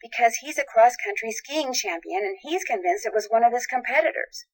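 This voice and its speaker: A woman's voice: fairly high pitch, expressive, brisk, low-quality recording. A woman playing a polite, composed young amateur detective in a video game, articulate and probing. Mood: inquisitive, earnest.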